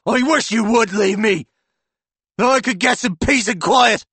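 A man doing a furious, shouting husband. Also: sits high, unhurried, breathy edge, no room sound, voice pushed up, full character voice. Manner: shouting, exasperated, sarcastic.

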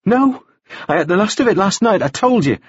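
Audiobook role: fiery, distraught young woman acted by a man, high-pitched, sharp retorts, slightly husky. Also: big pitch swings, brisk, dull audio, exaggerated voice. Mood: distraught, defiant. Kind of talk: audiobook character acting.

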